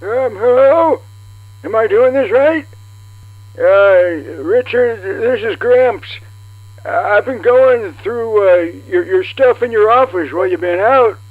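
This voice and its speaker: Man as high-voiced rambling grandpa on tinny line. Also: expressive, takes it slowly, dry recording, exaggerated voice. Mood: befuddled, chatty. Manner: hesitant, rambling, folksy. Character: meddling, chatty old grandpa from a retirement home. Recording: tinny phone-like line with hum.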